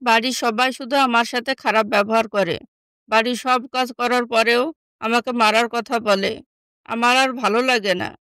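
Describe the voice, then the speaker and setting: A woman playing a neglected, soft-hearted young stepsister in an animated moral story, meek and pleading. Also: on the high side, moderate pace, clean tone, no room sound, close to an everyday voice. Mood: sad, submissive. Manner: pleading, submissive.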